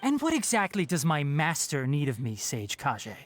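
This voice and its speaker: Lowish youthful voice, courteous young male ranger, firm, formal, lively intonation, game dialogue. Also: normal speed, faint music behind, full character voice. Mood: polite, firm. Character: polite but firm young male forest ranger, coolly guarded. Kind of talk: video game voice acting.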